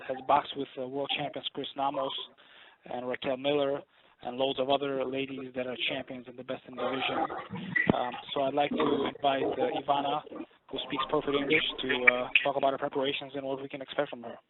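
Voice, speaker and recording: Flat-toned man moderating over phone. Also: mid-range voice, a little fast, very noisy. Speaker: press call moderator. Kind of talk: semi-formal introductions and moderating. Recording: narrow phone conference line.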